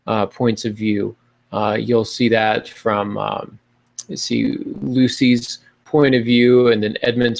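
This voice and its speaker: A man with a gravelly, creaky edge to his mid-pitched voice hosts a podcast. He talks casually, explaining his points in an informal, conversational way. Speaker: podcast host.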